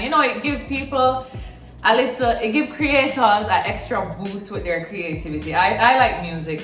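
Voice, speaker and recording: Young Jamaican woman, bright voice, chatty and emphatic, roomy echo. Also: medium pitch. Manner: chatty, emphatic, repeats words for stress. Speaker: vlogger. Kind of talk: casual talk to camera.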